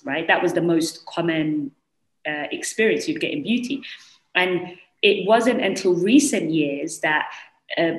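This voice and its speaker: A young woman with a strong British accent, telling her story as a panelist on a video call, animated and full of "you know"s. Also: on the low side, reverberant, poor audio. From United Kingdom. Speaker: panelist, entrepreneur. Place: small room indoors. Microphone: earphone mic on a video call.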